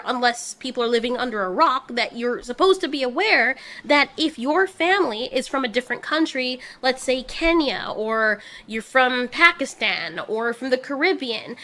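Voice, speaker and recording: A woman's voice: fairly high pitch, animated, normal speed, few pauses, pushed and bright. A woman hosting a commentary video, giving a firm, lecturing opinion monologue. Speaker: commentary channel host.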